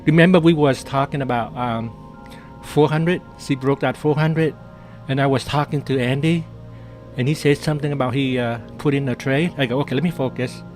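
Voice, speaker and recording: Male live-stream trader, mid-pitched voice, casual and jokey, repetitive phrasing, light music underneath. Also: fairly even intonation, normal speed, darkish tone. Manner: chatty, jokey, repeats phrases and often says "man". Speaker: day trader hosting a live trading stream. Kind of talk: casual live trading commentary.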